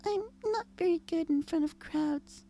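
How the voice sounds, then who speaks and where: A woman voicing a shy, gentle young female pegasus pony in an audio story, softly and hesitantly. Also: very high voice, a little slow, a little hiss or hum. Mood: timid confiding. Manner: hesitant, timid. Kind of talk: audio fan-fiction character reading.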